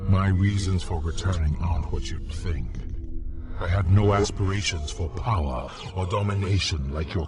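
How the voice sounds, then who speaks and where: An ancient necromancer from a fantasy game, with an extremely deep voice. He orates slowly and solemnly, full of cold grandeur and theatrical brooding. Prominent music plays behind him. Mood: bitter, proud. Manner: solemn, theatrical, deliberate oratory. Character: ancient male human necromancer villain, grandiose and coldly brooding. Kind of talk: dramatic video game character monologue.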